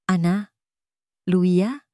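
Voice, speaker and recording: A woman's voice: fairly low pitch, very slow, warm tone, no room sound, studio quality. A woman voicing an anxious, commanding wealthy father in a narrated drama story. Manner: urgent, commanding. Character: anxious wealthy father searching for his twins.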